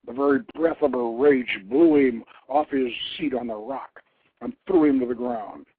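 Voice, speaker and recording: A man reading a scripted story aloud as a theatrical, deliberate and formal narrator, over a narrow, tinny phone-line connection. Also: mid-range voice, dry recording. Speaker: host reading his own novelette.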